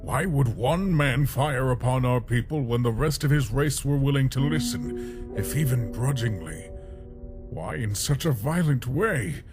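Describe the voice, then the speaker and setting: A man playing a weary, solemn monster king who speaks formally and deliberately in a dramatic comic dub. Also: medium pitch, lively intonation, close to an everyday voice. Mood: troubled questioning. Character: grave, weary monster king burdened by his people's fate. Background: background music.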